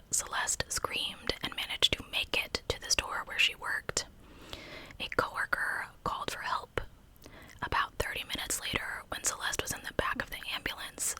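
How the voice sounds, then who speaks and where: Lady doing asmr true crime, all whispered, crisp and bright, chatty storytelling. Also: poor audio. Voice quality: breathy unvoiced whisper. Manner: conversational narration.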